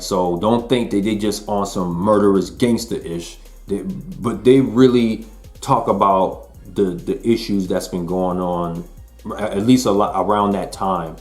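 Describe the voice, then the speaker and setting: A man in his forties casually reviewing an album, laid-back and chatty. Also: on the low side. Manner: laid-back, chatty, frequent "you know what I'm saying". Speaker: music reviewer. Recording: roomy echo.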